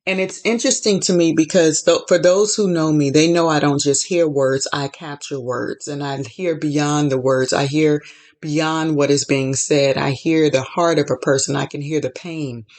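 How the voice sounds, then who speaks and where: A woman hosting a podcast, giving an inspirational faith talk with a measured, repetitive preaching cadence. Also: deep voice, steady tone, darkish tone. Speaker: podcast host.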